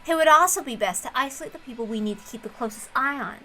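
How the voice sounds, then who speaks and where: Woman voicing pushy visual-novel brother, middle-aged, bright and forceful, scheming, faint noise. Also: high-pitched, expressive, quick pace. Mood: firm, urgent.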